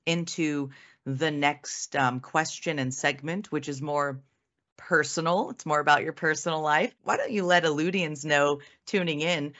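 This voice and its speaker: Low-voiced woman host. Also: fairly even intonation, a little slow, a bit bright, dull audio. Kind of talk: friendly interview hosting.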